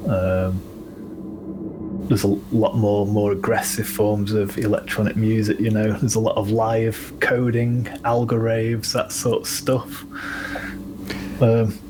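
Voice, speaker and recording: A man with a Manchester accent, a guest musician in a casual podcast chat, talking in a low-key, rambling way with many ums. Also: level tone, takes it slowly. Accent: Northern English (Manchester). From England. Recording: audible background noise.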